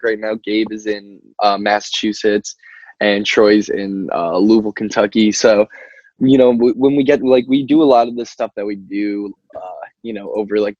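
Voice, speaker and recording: Young American male band singer, low voice, rambling casual interviewee in a roomy recording. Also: darkish tone. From Albany, New York, United States. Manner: rambling, peppered with "like" and "you know", laughs easily. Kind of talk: casual chat. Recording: muffled, roomy call-like audio.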